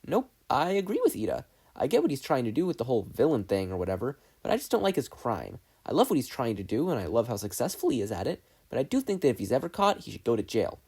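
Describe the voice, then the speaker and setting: A man's voice: mid-range voice, sing-song, fast talker, hardly any pauses, a little mellow, husky. A man voicing a frank, bubbly teenage girl student who talks in a breezy, informal way in a story reading.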